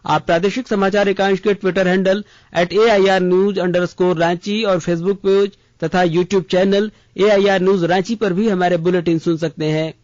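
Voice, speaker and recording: A man reading a scripted regional news bulletin in a formal, measured way. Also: high-pitched, fairly even intonation, a little mellow, dry recording. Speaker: radio news reader. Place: radio studio. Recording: slightly dull, band-limited radio audio.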